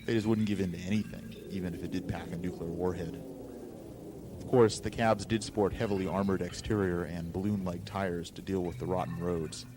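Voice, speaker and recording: A man's voice: low-pitched, steady tone, a little fast. A man reading a scripted first-person story with a wry delivery, on a noisy amateur recording.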